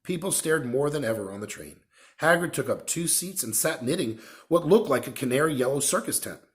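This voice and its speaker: A man's voice: medium pitch, expressive, a little fast. A man reading a children's novel aloud as a narrator. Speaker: story reader.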